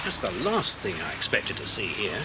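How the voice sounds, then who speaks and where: An actor with an English accent playing a jovial, capable grown-up friend to children in a 1980s audio drama, hearty and instructive. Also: male voice, wildly swooping pitch, moderate pace. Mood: puzzled, brisk. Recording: noisy cassette, engine rumble behind.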